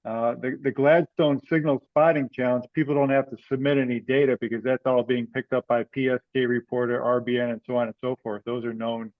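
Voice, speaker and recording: A man explaining things casually on a teleconference, somewhat hesitant and measured. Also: mid-range voice, level tone, no echo. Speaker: meeting participant. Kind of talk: casual explanatory call discussion. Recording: dull, lo-fi teleconference audio.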